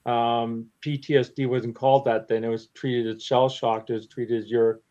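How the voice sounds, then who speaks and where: Middle-aged veteran on a podcast, halting, word-searching delivery, mid-pitched, muffled lo-fi audio. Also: male voice, level tone, warm tone. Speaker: military veteran on a podcast panel. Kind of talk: casual podcast discussion.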